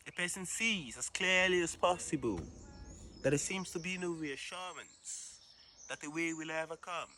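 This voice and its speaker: A man performing a reflective, dramatic spoken-word monologue in a solemn, deliberate manner with long pauses. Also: fairly high pitch, sharp tone.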